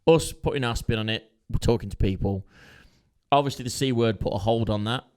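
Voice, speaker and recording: A man co-hosting a casual podcast, swearing freely and cracking jokes. He often trails off mid-thought and restarts. His voice sits at an ordinary middle pitch. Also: brisk, darkish tone, clean tone. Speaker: podcast co-host. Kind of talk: casual chat.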